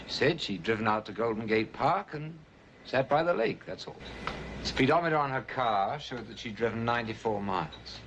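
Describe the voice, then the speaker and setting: An actor playing a worried, polished businessman husband in a 1950s film drama, telling his story gravely and with measured phrasing. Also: medium pitch, lively intonation, close to an everyday voice. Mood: troubled, urgent. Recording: old film soundtrack, faint background noise.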